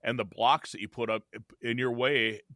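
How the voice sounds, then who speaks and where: Man, fifties, podcast co-host, rambling casual chat, tense bright tone, dry. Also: mid-range voice, fairly even intonation, normal speed, dry recording. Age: 50s. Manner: rambling, repeats himself.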